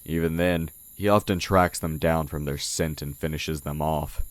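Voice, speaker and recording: A man playing a sly oni who poses as a humble village blacksmith in an audio roleplay, talking in a measured, condescending way. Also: very deep voice, fairly even intonation, no room sound. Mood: grim. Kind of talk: audio roleplay, intimate character acting. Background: night forest ambience with crickets.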